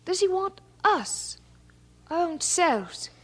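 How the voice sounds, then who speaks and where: An actress playing an earnest, restless young London maid in a radio drama. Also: female voice, extremely high pitch, big pitch swings, takes it slowly, a little hiss or hum, heavily acted. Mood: earnest, searching.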